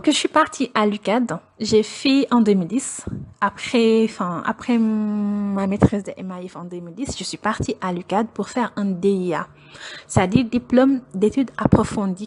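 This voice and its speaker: A woman's voice: mid-range voice, a little mellow. A young woman from Senegal, a doctoral student, telling the story of her studies in an interview in an earnest, storytelling way. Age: young adult. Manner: earnest, storytelling with light laughs. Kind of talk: personal account in an interview.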